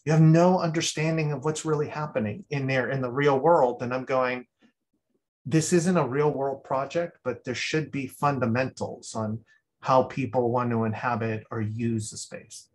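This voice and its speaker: A man critiques a student's project over a video call. He thinks aloud, circles back and restarts his sentences, at an ordinary mid pitch. It sounds like casual spoken feedback from a guest critic. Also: fairly even intonation, normal speed. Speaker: guest critic at a design review.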